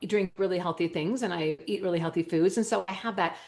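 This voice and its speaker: A woman's voice: fairly low pitch, fast talker, darkish tone, slight background noise. A woman with a Wisconsin accent explaining things enthusiastically and emphatically as an interview guest, using everyday analogies. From Wisconsin, United States. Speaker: natural medicine school owner and teacher, interview guest. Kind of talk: animated explanatory interview talk.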